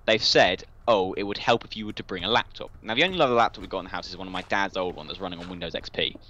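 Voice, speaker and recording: A young man with a southern English accent rambles through a casual story. His voice is fairly low but bright, and he talks quickly and chattily. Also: no room sound, lo-fi sound. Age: young adult. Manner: digressive, chatty. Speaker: guest on a gaming video.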